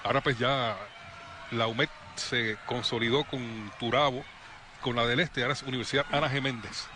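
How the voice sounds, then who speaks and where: Brisk man calling football play-by-play. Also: mid-range voice, background noise. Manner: brisk, rising excitement on attacks. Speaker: play-by-play commentator.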